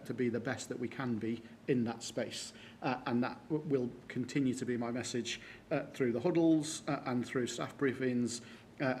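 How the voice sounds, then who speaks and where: Guy at a board meeting talking through his report, lots of uhs, bit of background hum. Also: medium pitch. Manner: halting, many filler sounds. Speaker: board member presenting a staff report. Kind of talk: formal meeting talk. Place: meeting room.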